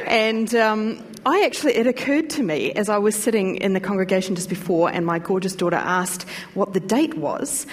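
A woman's voice: medium pitch, in a large hall. A woman with a New Zealand accent preaching a sermon in a church, chatty and talking straight to the congregation. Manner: chatty, warm asides to the congregation. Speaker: pastor. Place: church hall.

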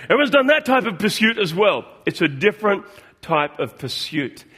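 A middle-aged man gives a sermon in an easygoing, talky way. His voice sits a little high for a man. He often pauses on fillers and restarts his sentences. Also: sing-song, sharp tone, husky. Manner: conversational, with frequent fillers and restarts. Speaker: preacher.

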